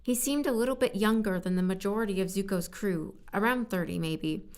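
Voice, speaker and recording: A woman with a medium-pitched voice reads scripted fiction as a narrator. Her delivery is halting, as she works through stumbles and repeats phrases for another take. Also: a little mellow. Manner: halting, stumbling over words and repeating takes. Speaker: voice actor recording as narrator. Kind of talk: scripted story narration with retakes.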